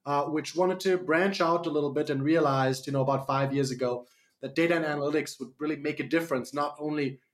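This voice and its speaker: A man's voice: a little high, fairly even intonation, brisk. A man from Germany with a slight German accent, a startup CEO answering interview questions on radio, hesitant with many fillers and restarts.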